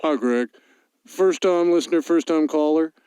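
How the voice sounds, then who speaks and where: A man's voice: fairly high pitch, normal speed, dark tone, smooth, dry recording, close to an everyday voice. A man playing a puzzled, hesitant caller on a comedy radio phone-in, questioning and casual. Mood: unsure.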